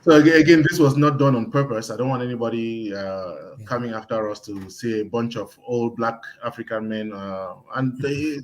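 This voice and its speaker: A man acting as moderator of a panel discussion, running through suggestions and next steps in a brisk, organizing way over a video call. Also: medium pitch, rough recording.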